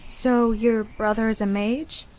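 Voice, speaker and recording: A woman's voice: fairly high pitch, steady tone, a little slow, a little mellow, slight background noise, close to an everyday voice, rough recording. A woman voicing a curious, polite but sceptical young female traveler in a visual novel. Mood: polite, probing. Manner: polite, inquisitive.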